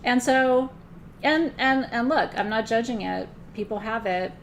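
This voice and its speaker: Chatty woman teacher with gravelly fry. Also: a little high, measured pace, slight background noise. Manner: chatty, often checks in with the listener; loose, frequent fillers and restarts. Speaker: spiritual teacher leading a class. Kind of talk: conversational live class lecture.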